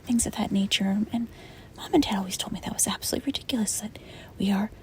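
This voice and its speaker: A woman playing a reluctant, apologetic sleep paralysis demon in a soft, hesitant, breathy ASMR whisper. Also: medium pitch, fast, natural voice. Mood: nervous, wistful. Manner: hesitant, rambling, soft-spoken. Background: rain and wind.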